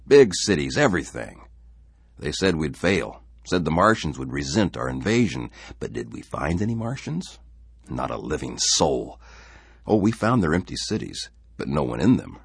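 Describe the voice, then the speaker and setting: A man's voice: a bass voice, big pitch swings, normal speed, vocal fry, slightly rough, dry recording, studio quality, full character voice. A man voicing an anxious male settler father who speaks with forced cheer and a stern, insistent manner.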